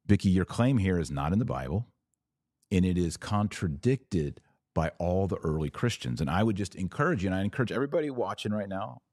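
A man's voice: low-pitched, lively intonation, fairly quick, darkish tone, no echo, studio quality. A man hosting a religious podcast, speaking in emphatic, short declarative phrases as he argues a point of faith to his viewers. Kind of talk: live apologetics talk answering viewer comments.

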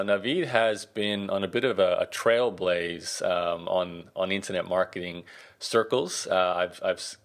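A man hosting a podcast, chatting casually in a friendly, rambling way with frequent restarts. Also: low-pitched. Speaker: podcast host. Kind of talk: casual interview chat.

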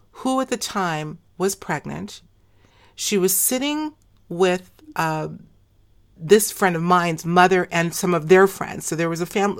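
A hoarse woman with a low-pitched voice hosts a conversational interview. She questions her guest closely and repeats back what she hears to check it. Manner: probing, restates what the guest says. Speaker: podcast host.

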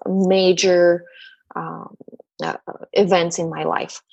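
Husky-voiced Bulgarian-accented woman. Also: on the low side, no echo. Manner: chatty, laughs often, hesitant fillers. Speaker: guest. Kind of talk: conversational interview.

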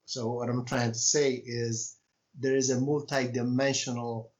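Italian-accented man, reflective and measured. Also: medium pitch, level tone, darkish tone, lo-fi sound. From Italy. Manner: measured, reflective, often pauses with fillers. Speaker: author, podcast guest. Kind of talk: conversational interview.

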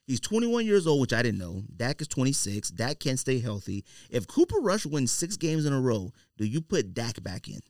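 A man talks as a podcast host with a very animated, mid-pitched voice. He is casual and persistent, pushing his questions along in loose conversational banter. The sound is dry, like a studio. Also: normal speed, hardly any pauses, dry recording. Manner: animated, persistent, often cutting in to press questions.

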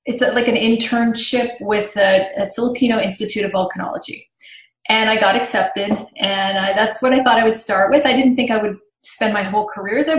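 A woman's voice: mid-range voice, reverberant, poor audio. A woman from Canada, raised in French and English, explaining things conversationally as a podcast interview guest. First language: bilingual French and English from childhood. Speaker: podcast guest, tech marketing executive.

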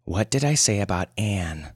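A man's voice: on the low side, animated, normal speed, slightly rough, no room sound, clean studio sound. A man voicing a harried, bitter young mother who talks in raised, clipped bursts in an audio story. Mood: stern.

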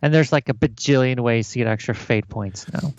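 Gravelly laid-back man, dull lo-fi mic. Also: mid-range voice, no room sound. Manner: laid-back, quick asides and agreements. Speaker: podcast co-host. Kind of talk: casual tabletop gaming chat.

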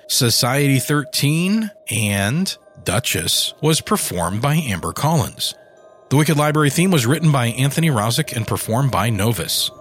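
A man's voice: medium pitch, fairly bright tone. A man hosting a podcast, reading scripted outro announcements and plugs in an informal, upbeat manner. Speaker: podcast host and producer. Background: music.

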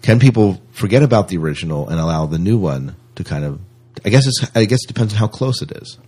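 A man co-hosting a podcast in casual conversation, halting and often repeating his phrases. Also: sits low, animated, darkish tone, husky. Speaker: podcast co-host. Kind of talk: casual film and TV discussion.

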